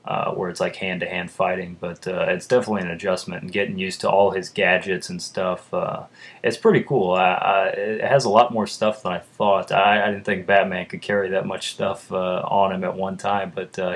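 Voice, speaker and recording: A young man with a deep voice, chatting casually in a vlog and rambling with lots of fillers. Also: flat delivery, brisk. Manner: rambling, lots of uhs and ums. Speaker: vlogger. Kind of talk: casual chat.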